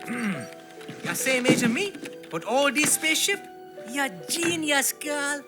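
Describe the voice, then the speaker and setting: A streetwise teenage girl in a game cutscene, with a high, youthful voice and a Caribbean accent. She talks in teasing, informal slang, slowly, over clear background music. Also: animated. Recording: music playing behind.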